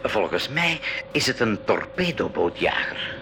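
A man acting a wary, excitable uncle and skipper in a 1980s school radio play, with fretful, emphatic delivery. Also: lowish voice, a little slow, gravelly, noisy background, heavily acted. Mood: worried.

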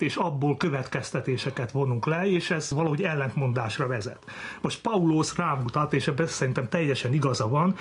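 A man's voice: fairly high pitch, breathy edge. A man, a mathematics professor, explaining his ideas as a guest in an interview.

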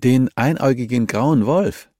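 A male reader puts on a gracious society wife for an audiobook. She is breezy, wry and eager to help. The voice is lifted clearly in pitch, with a dry sound. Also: moderate pace, warm tone, dry recording, close to an everyday voice. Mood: wry, helpful. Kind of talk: audiobook character dialogue.

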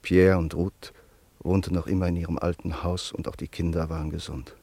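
Deep, dry-voiced radio play narrator. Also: male voice, flat delivery, vocal fry, warm tone, breathy edge, dry recording. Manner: measured, sober.

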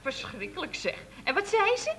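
A man's voice: very high voice, huge pitch swings, normal speed, fairly bright tone, full character voice. An actor, a man, playing a nosy, eager office telephonist in a Dutch radio comedy, exclaiming in a singsong manner. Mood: curious. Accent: Netherlands Dutch. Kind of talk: Dutch radio comedy acting around 1970.

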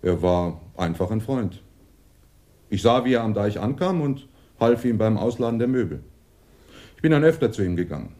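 An actor playing a melancholy male witness in a German radio crime drama, reflective and halting. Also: lowish voice, very animated, warm tone. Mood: wistful, musing. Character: male neighbour of a dead man, melancholy police witness.

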